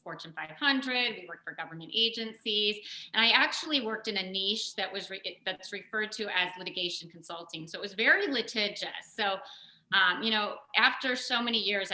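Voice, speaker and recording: A woman guest and business co-founder giving measured, articulate interview answers. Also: mid-range voice, pushed and bright, reverberant, rough recording.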